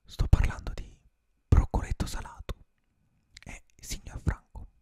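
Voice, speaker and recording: A young man whispering a bedtime fairy tale for ASMR, close to a studio microphone in a small room. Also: mellow. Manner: slow unvoiced whisper, drawn-out pauses. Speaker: ASMR streamer. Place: small dim room with colored string lights. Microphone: close studio mic, earphones.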